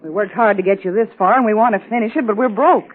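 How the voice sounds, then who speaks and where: A woman's voice: medium pitch, fast talker, lightly acted. An actress playing a gangster's anxious, devoted girlfriend, emotional and urgent, in a 1940s radio melodrama. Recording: muffled, band-limited 1940s radio recording.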